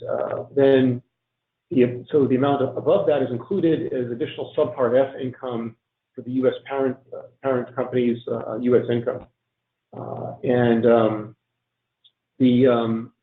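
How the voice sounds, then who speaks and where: Man, hoarse voice, halting with lots of ums, tinny phone line, echoey room. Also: mid-range voice. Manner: halting, many ums and uhs and restarts. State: losing his voice. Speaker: tax presenter on a webinar panel. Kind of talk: explanatory webinar presentation.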